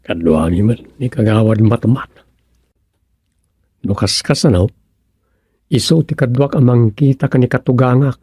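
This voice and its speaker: An actor with an Ilocano accent playing a fretful, muttering son-in-law in a radio comedy drama. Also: male voice, mid-range voice, measured pace, pauses often, dark tone, slightly rough, no room sound, studio quality. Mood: pensive, worried.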